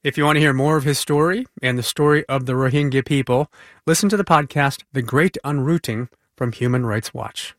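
A man works as a radio interviewer. His voice sits at an average male pitch and is recorded very dry, with almost no room sound. He delivers questions and summaries in broadcast news style. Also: a little fast, dry recording.

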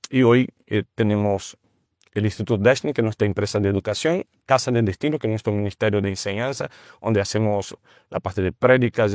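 A man from Brazil with a Brazilian Portuguese accent, speaking Spanish as a second language as a guest, with restarts and pauses to search for words. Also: lowish voice, no room sound, studio quality. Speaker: guest, teacher of a ministry. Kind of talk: conversational testimony.

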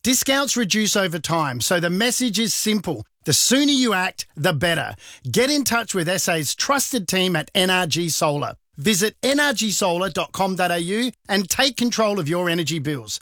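A man's voice: high voice, sing-song, moderate pace, pushed and bright, dry recording, clean studio sound. A man reading a radio ad as his company's spokesman, upbeat and persuasive. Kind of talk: radio ad read.

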